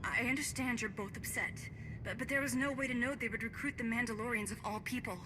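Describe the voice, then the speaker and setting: An actress playing an earnest, placating female ally in a sci-fi game, hesitant and hurried. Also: fairly high pitch, fairly even intonation, over music, close to an everyday voice. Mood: anxious, placating. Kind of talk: video game voice acting.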